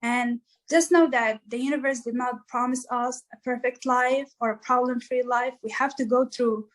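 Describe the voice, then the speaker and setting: Woman from east africa, high-ish voice, earnest motivational talk, lots of "like"s. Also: steady tone, normal speed, low-quality recording. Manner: earnest, motivational, frequent "like" fillers. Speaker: musician guest. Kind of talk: casual interview answers.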